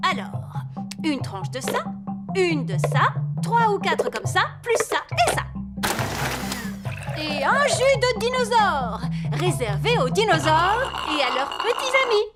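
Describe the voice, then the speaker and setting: Une comédienne qui double une lapine adulte affairée et enjouée dans un dessin animé pour enfants, au débit vif et chantant. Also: female voice, very high voice, very animated, takes it slowly, full character voice. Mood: cheerful, playful. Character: busy, cheerful adult lady rabbit working many jobs. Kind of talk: children's cartoon dubbing. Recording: loud music behind the voice.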